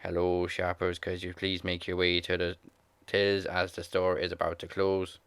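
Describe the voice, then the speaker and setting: A man's voice: low-pitched, completely flat delivery, moderate pace, fairly bright tone, smooth, dry recording, voice pushed down. A man voicing a dull-voiced male priest who reads out a store announcement and then pushes shoppers along. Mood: droning.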